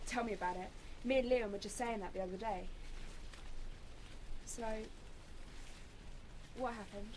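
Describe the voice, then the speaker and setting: A woman playing a supportive, gently teasing young apocalypse survivor who reassures people, in a radio drama. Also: medium pitch, measured pace, long pauses, a little hiss or hum, natural voice, poor audio. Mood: warm, teasing.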